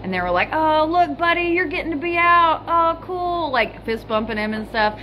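A woman in her thirties chats and tells stories into a phone held at arm's length, loose and casual, with the odd curse word. She sits in a small hotel room. A steady hum of room noise runs under her voice. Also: sits high, moderate pace. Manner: chatty storyteller, swears casually. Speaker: vlogger. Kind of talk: casual chat. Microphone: phone camera held at arm's length. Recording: noisy hotel room ambience.

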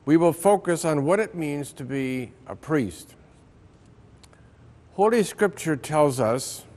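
An older American man reads a religious reflection as a priest would in church. His delivery is slow and solemn, with each phrase measured out at a middling pitch. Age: older, around 70. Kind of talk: scripted religious reflection, reading aloud. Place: large ornate church interior.

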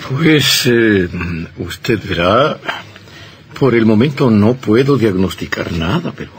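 An actor playing a tactful, reassuring physician in an old radio drama, with a hesitant, measured manner. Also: male voice, fairly low pitch, lively intonation. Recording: noisy old radio recording.

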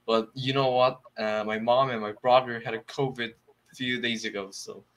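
A young Korean man practising English on a video call from a small room at home. He speaks with a Korean accent, pausing often and reaching for words with plenty of fillers. His voice sits at an ordinary middle pitch. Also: fairly even intonation, a bit bright. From South Korea. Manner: hesitant, searching for words, many fillers. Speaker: English learner. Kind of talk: casual video-call conversation.

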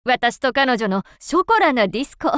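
A woman playing a refined, devoted crane-spirit seamstress in a game, polite and theatrical, given to excitable fangirl gushing. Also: a little high, moderate pace, dry recording. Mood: excited. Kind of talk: Japanese game voice acting. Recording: background music under clean studio voice.

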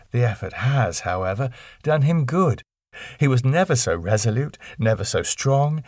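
A man's voice: medium pitch, no room sound, studio quality. A man narrating a classic novel as an audiobook reader.

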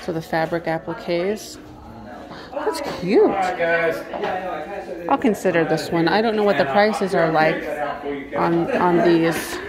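A woman's voice: on the low side, slow pace, long pauses, over a noisy crowd. A woman vlogger giving casual commentary as she shops, half murmuring to herself while browsing. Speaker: vlogger, antiques reseller. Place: house and garage at estate sales. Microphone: handheld phone or camera.

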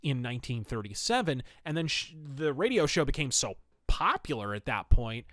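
A man's voice: mid-range voice, big pitch swings, normal speed, a bit bright, dry recording, clean studio sound. A man hosting a podcast, giving casual review commentary in an enthusiastic, opinionated and colloquial way. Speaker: podcast host reviewing pulp fiction and old radio.

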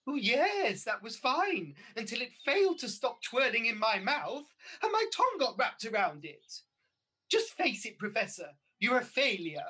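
A woman's voice: fairly high pitch, very animated, normal speed, bright, forceful tone, slightly rough, heavily acted. A woman playing a vain, haughty pantomime mother with an exclamatory, theatrical delivery, in a radio panto. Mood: haughty, scornful. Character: vain, haughty pantomime dame-mother, newly young and beautiful.

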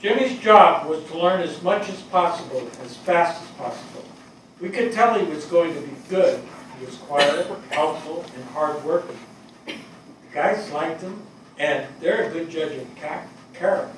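An older man acting a grieving, earnest, plainspoken veteran fire captain in a halting stage reading. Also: fairly high pitch, lively intonation. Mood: earnest, eulogizing. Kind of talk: dramatic stage reading of a play. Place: small theatre stage before seated audience. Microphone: distant camera microphone. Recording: echoey hall, distant mic, clear noise.

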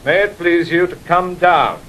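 Formal, dutiful noble messenger. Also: male voice, a little slow, fairly bright tone. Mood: formal, brisk. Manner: formal, declarative. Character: blunt, dutiful nobleman bearing messages. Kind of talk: classical Shakespearean audio drama acting. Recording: old 1960 recording, hiss and rumble.

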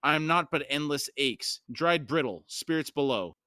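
A man's voice: fairly high pitch, animated, normal speed, bright, forceful tone, smooth, dry recording. Guy playing a withered old woman in a fantasy tale, bitter, pleading, anguished, tense voice, dry recording. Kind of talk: audiobook-style fantasy storytelling.

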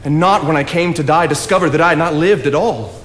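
A man acts an earnest, brooding writer of the 1800s in a stage drama. His voice sits fairly high and he declaims with deliberate conviction. It rings in a theatre with faint background noise. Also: long reverb tail, natural voice. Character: earnest, idealistic 19th-century writer, brooding and impassioned.

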